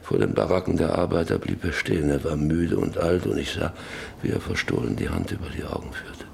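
An actor playing a weary, guilt-ridden middle-aged father in a radio drama, halting and heavy, often sinking toward a whisper. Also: extremely low pitch, animated, darkish tone, slight background noise, heavily acted. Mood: grieving, reflective. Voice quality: creaky, often breaking into whisper. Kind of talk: German radio drama acting, monologue and dialogue.

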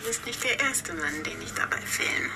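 An actress playing a curious, quietly teasing woman with a camera in a naturalistic radio drama, speaking deliberately. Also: fairly high pitch, expressive, creaky, in a whisper. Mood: playful. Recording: very noisy, clicks, slightly distant.

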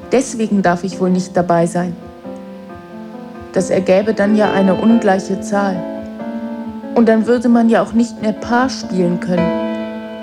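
A woman's voice: medium pitch, lightly acted. A woman playing a lonely, pensive schoolgirl who tells herself stories in a radio play. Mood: wistful, uneasy. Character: lonely, wistful schoolgirl telling herself fairy tales. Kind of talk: storytelling inner monologue in radio play. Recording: loud piano music behind.

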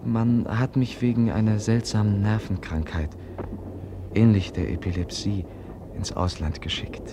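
An actor in a 1950s German radio play, playing a frail, candid young prince, polite and earnest, with hesitant pauses. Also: male voice, fairly low pitch, fairly even intonation. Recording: noisy 1950s radio recording.

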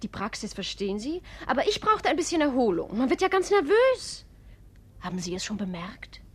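High, swooping flirtatious society lady. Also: very animated, moderate pace, husky, no echo, heavily acted. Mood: bright, coquettish. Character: flirtatious, restless married society lady, hungry for life. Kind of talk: German radio play acting.

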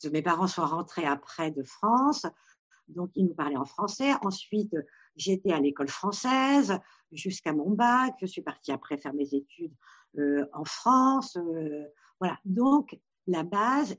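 A woman from Morocco, a therapist, answering interview questions in a thoughtful, halting way with many restarts. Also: fairly low pitch, lively intonation, slightly rough. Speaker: Gestalt therapist. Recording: dull lo-fi sound.

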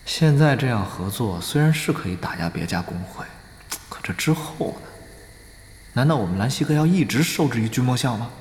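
Man as exasperated young gamer guild leader, audio drama, animated pitch, long reverb, music behind. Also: brisk, close to an everyday voice. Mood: worried, musing. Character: excitable, exasperated young male online-game guild leader. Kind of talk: Chinese audio drama acting. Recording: roomy reverb, music bed. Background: background music.

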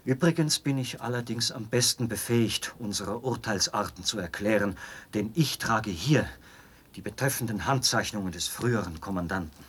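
Husky, pedantic young officer. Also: male voice, lowish voice, normal speed. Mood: apologetic, aggrieved. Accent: standard German. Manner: precise, formal, explanatory. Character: eager young officer-judge of a penal colony, pedantic, zealous. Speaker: actor in a radio play. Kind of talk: German radio drama acting.

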